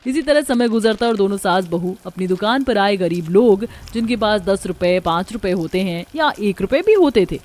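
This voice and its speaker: A woman's voice: mid-range voice, expressive, moderate pace, few pauses, a little mellow. A female narrator reads a scripted cartoon family story. Her voice sits in a middle register.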